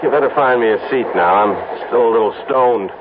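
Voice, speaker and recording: An actor playing a flustered, stammering advertising executive in a 1970s radio drama, excitable and smitten. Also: male voice, mid-range voice, fairly quick, lightly acted. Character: smitten, flustered advertising man, fast-talking. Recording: tinny lo-fi old radio broadcast with hiss.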